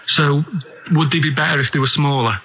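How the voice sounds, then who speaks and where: A male radio co-host with a bright, forward voice. He chats casually and rambles along, sounding earnest and puzzled as he poses naive questions. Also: on the high side, fairly quick, a little hiss or hum.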